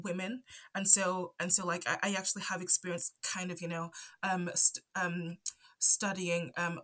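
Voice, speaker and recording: A woman's voice: lowish voice, sharp tone. A woman in her twenties from Zambia with a stutter, answering interview questions hesitantly with many fillers and restarts. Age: 20s. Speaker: guest, anthropology PhD student.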